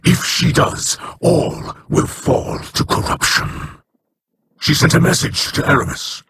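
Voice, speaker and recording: An actor playing a solemn alien ally who speaks in slow, formal, measured sentences, in a noisy game audio rip. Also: male voice, a little high, fairly even intonation, natural voice. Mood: grave.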